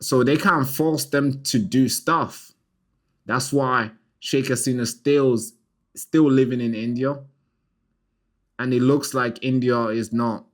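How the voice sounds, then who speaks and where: A man hosting his own channel, giving loose, chatty news commentary straight to camera, with asides to his viewers. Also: mid-range voice. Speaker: channel host.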